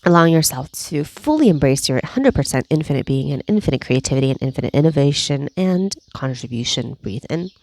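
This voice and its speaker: A woman leading a guided energy clearing session, speaking slowly and soothingly, with repeated cues and breathy sighs. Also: low voice, lively intonation, darkish tone, no echo. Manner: slow, soothing, repetitive guiding with breathy sighs. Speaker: energy healing facilitator.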